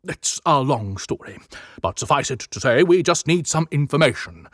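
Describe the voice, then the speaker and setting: A man's voice: fairly high pitch, animated, moderate pace, a bit bright, no room sound, clean studio sound, pitched above the performer's own voice. A man voicing Santa Claus as a firm, frank, businesslike older man in a dramatized audiobook.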